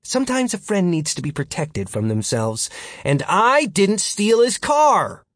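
A man's voice: high-pitched, wildly swooping pitch, measured pace, dry recording, studio quality, pitched above the performer's own voice, heavily acted. A man voicing a smug, teasing male friend in an audiobook story, glib and matter-of-fact. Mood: firm, righteous.

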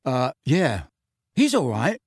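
A man's voice: high voice, sing-song, moderate pace, husky, no echo, clean studio sound, pitched above the performer's own voice, full character voice. A man voicing a blunt, worried and bewildered teenage boy for an audiobook. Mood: gruff, hurried. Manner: blunt, incredulous. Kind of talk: audiobook character acting.

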